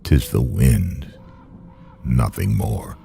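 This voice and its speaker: Very deep, gravelly man reciting slowly. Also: dark tone, a little hiss or hum. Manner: solemn, measured, theatrical delivery. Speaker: poetry reader. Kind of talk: dramatic gothic poetry recitation. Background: faint music.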